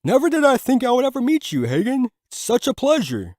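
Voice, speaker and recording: A man's voice: very high voice, huge pitch swings, fairly quick, a little mellow, no echo, clean studio sound, full character voice. A man playing a genial, gracious doctor and host in an audio story. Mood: gracious, welcoming.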